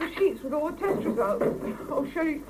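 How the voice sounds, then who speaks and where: A woman's voice: sits high, expressive, fairly quick. A woman playing a harried, apologetic young working mother and scientist in a 1970s radio drama, anxious and defensive. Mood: panicky. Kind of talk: 1970s BBC radio drama acting with intimate narration. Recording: faintly noisy old mono cassette radio recording.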